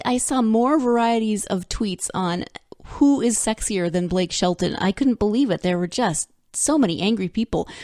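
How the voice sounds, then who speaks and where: A woman's voice: mid-range voice, no room sound, studio quality. A woman hosting a casual solo podcast, chatty and upbeat, sounding congested and snuffly with a slightly scratchy throat. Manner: chatty and upbeat, with frequent fillers and self-corrections. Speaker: podcast host. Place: home in Northern California.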